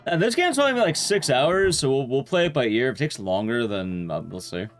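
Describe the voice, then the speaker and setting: A man's voice: mid-range voice, lively intonation, a little fast. A man livestreaming a video game, giving casual gameplay commentary while chatting with his viewers.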